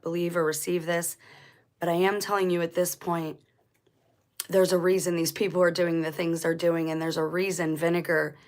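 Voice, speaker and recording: A woman with a lowish voice hosts her own channel, talking casually and drifting between thoughts. Her throat sounds dry and a bit scratchy, and she often backs up to fix her words mid-sentence. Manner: rambling, self-correcting, conversational. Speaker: channel host. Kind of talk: casual commentary vlog.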